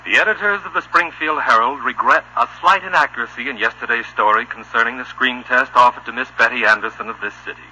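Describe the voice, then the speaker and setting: An actor playing a solemn, stuffy newspaper voice with an American accent, reading formally and deadpan in a 1950s radio show. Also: male voice, mid-range voice, fast talker, few pauses, poor audio. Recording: old radio recording with hum.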